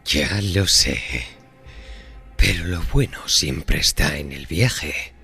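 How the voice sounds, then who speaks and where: An actor playing a sly, calmly defiant man who bargained with the devil, in a horror audio drama. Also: rumbling low, slow pace, vocal fry, breathy edge, exaggerated voice. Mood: sly, content. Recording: faint music behind the voice.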